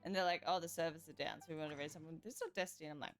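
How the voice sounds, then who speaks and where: A woman's voice: low-pitched, steady tone, racing. A woman chatting casually and off the cuff while streaming a game. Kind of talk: casual unscripted gaming stream chat. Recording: game music behind the voice.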